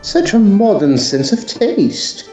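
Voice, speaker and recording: Guy doing unflappable edwardian ghost detective, real slow and dry, echoey sound, audio drama. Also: high-pitched, wildly swooping pitch, dark tone, faint music behind, exaggerated voice. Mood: dry, curious. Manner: deliberate, dry, understated. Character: unflappable, measured Edwardian gentleman occult detective. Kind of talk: tongue-in-cheek period audio drama.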